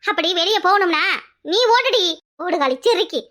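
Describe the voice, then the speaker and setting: Woman playing a very shrill, outspoken young village wife. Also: fast talker, a bit bright. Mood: furious. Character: outspoken young village wife, dutiful, protective, quick-tempered. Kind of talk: Tamil family drama dialogue.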